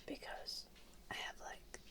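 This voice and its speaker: Slow, whispering woman. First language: Finnish. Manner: soft whispering, chatty and casual, answers chat; bouncing while sitting on an exercise ball. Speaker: ASMR streamer. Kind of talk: whispered live-stream chat.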